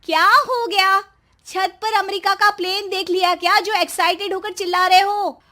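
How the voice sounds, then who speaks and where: A woman's voice: very high voice, steady tone, moderate pace, sharp tone. A woman playing an impatient young wife who scolds and mocks in a comic story. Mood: sarcastic, exasperated. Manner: scolding, mocking, exasperated. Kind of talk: acted dialogue in a Hindi comic story.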